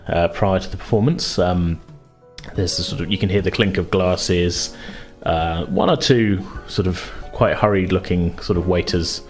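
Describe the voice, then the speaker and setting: A man acting as game master, casually improvising narration in a loose, conversational, hedging way. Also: deep voice.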